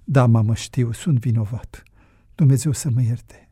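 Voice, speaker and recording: A narrator voicing a guilt-ridden, earnest grown son in a hushed, heavy-hearted way. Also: medium pitch, husky, no echo, clean studio sound. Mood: remorseful, tired.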